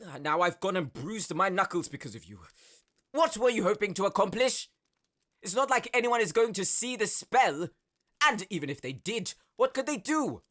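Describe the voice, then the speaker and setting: A man's voice: high-pitched, big pitch swings, moderate pace, a bit bright, heavily acted. A man playing an arrogant, cruel nobleman sorcerer with a pompous, sneering manner in an audio drama. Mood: angry contempt. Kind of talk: dramatic audio roleplay acting.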